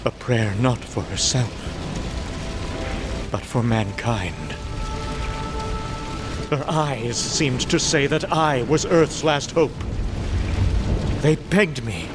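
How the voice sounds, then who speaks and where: A man's voice: mid-range voice, animated, slow pace, pauses often, over music. A man playing a noble, anguished alien herald, delivering solemn, archaic, theatrical declamation in a comic-book drama. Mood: sorrowful resolve.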